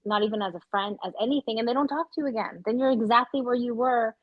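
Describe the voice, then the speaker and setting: A woman co-hosting a podcast in casual chat, with a loud, high-pitched voice, talking openly, swearing casually and laughing often. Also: quick pace, dry recording, lo-fi sound. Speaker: podcast co-host.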